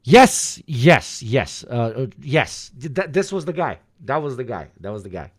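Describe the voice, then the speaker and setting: A man with an Eastern European accent, reacting to a video in casual, profane, rambling commentary with sudden animated bursts. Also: mid-range voice, unhurried, husky, no echo, studio quality.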